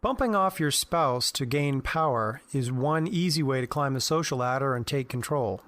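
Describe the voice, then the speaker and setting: A man's voice: mid-range voice, normal speed, fairly bright tone. A man presenting a prepared commentary on fantasy game lore for a podcast, in explanatory fashion.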